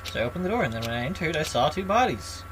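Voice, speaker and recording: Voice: mid-range voice, very animated, racing, background noise. A game streamer with a wry manner, chatting and reading game dialogue aloud in a live playthrough, with music behind.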